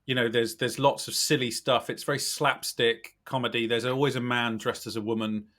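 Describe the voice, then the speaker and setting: A British man with a slightly scratchy, croaky voice, chatting casually as a podcast guest in a wry, self-deprecating, animated way. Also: medium pitch, normal speed. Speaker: podcast guest, recruitment company director. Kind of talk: casual chat.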